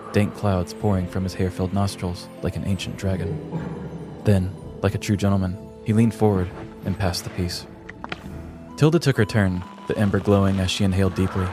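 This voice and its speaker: Casting: male storyteller, low voice, measured and theatrical, comic fantasy narration over prominent music. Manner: mock-epic, theatrical, measured. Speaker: podcast host and storyteller. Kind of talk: dramatic scripted comedic fantasy narration.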